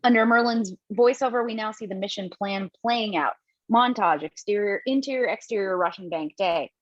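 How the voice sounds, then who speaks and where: A woman's voice: mid-range voice, normal speed, dry recording. A woman narrating stage directions for an online table read, reading plainly and dropping the odd casual aside. Her mic sounds dull and dry.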